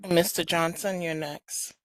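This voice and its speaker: A moderator briskly calling on speakers at a community meeting. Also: mid-range voice, fairly even intonation, no echo, poor audio. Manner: brisk, procedural, apologetic.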